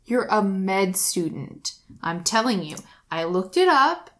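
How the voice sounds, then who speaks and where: A woman voicing a stiff, earnest young man who speaks bluntly and haltingly. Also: mid-range voice, sing-song.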